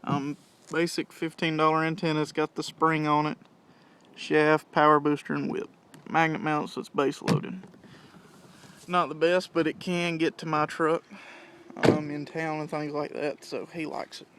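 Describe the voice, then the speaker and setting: A man's voice: a little high, flat delivery, measured pace, a bit bright, a little hiss or hum. A young man giving a casual, plain-spoken, unscripted how-to walkthrough, explaining things step by step. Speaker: CB radio hobbyist giving a demonstration. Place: in and around parked vehicles at home.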